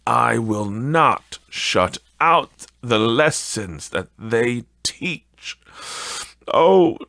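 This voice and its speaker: A man's voice: on the high side, fairly bright tone, no echo, pitched above the performer's own voice, heavily acted. A man playing a frightened, repentant old miser in a storybook reading, pleading fervently and drawing out his words. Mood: fervent, imploring. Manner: pleading, fervent, drawn-out delivery. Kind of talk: dramatic audiobook character acting.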